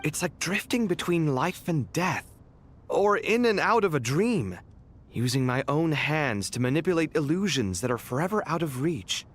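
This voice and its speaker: An actor playing a conscientious young scholar in a video game, expressive and theatrical, quick to grumble. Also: male voice, a little high. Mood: musing. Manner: animated, theatrical. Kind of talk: video game dialogue acting.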